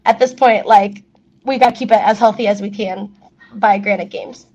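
A woman's voice: medium pitch, fairly even intonation, a little mellow, muffled recording. A young woman whose first language is Spanish, chatting as an interview guest with plenty of fillers. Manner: chatty, many fillers, quick laughs. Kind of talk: casual interview chat.

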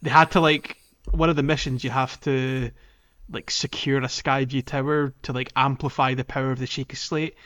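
A man's voice: mid-range voice, level tone, moderate pace, hardly any pauses, a bit bright. A man hosting a podcast, casually chatting about video games. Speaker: podcast host. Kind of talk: casual discussion of a video game.

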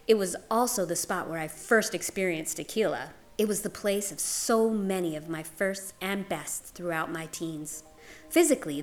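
A woman's voice: medium pitch, a bit bright. A woman reading a scripted literary story aloud as a narrator. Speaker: guest reader. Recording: music under the voice. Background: music.

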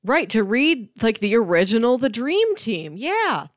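A woman's voice: fairly high pitch, sing-song, unhurried, no echo, studio quality. A woman chatting casually as a podcast co-host, talking in a loose conversational way peppered with "like".

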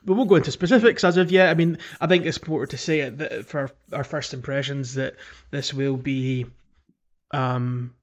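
A man's voice: mid-range voice, moderate pace. A man co-hosting a podcast in casual chat, talking in a discursive, self-qualifying way. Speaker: podcast host.

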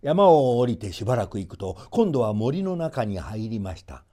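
A man's voice: mid-range voice, big pitch swings, moderate pace, dark tone. A man narrating a folk tale as a storyteller, in a theatrical scripted reading.

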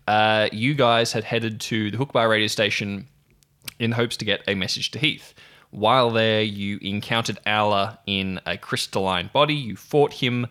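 Male game master, lowish pitch, bright forceful tone, casual storytelling. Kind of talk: casual tabletop game narration with banter.

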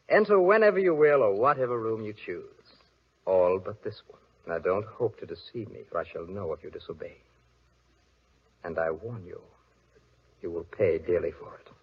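An actor playing a menacing, mysterious fairy-tale husband and castle lord, in a theatrical, commanding old-radio style. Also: male voice, medium pitch, husky. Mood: brisk, commanding. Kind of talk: 1940s radio acting. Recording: dull lo-fi old radio recording.

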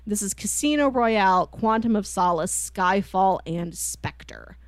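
A woman's voice: mid-range voice, animated, unhurried, dry recording. A woman co-hosting a podcast in casual chat, with an enthusiastic, chatty manner. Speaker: podcast co-host. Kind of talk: casual chat, pitching films to co-host.

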